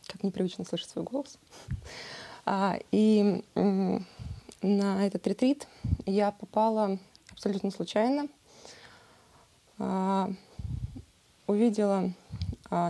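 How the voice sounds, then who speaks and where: A woman in her thirties speaks with a warm, mellow voice of middle pitch. She hesitates often, with many filler sounds, as she tells the group about her own retreat. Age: 30s. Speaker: retreat participant. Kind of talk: personal testimony.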